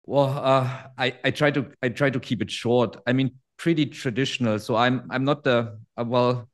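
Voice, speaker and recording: A man's voice: mid-range voice, fairly even intonation, moderate pace. A man in his forties from Germany, speaking English with a German accent as a non-native speaker, chatting in a friendly way as a guest in an interview. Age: 40s. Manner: friendly, laughs easily, restarts phrases in non-native English. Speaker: guest trader. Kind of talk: casual interview chat.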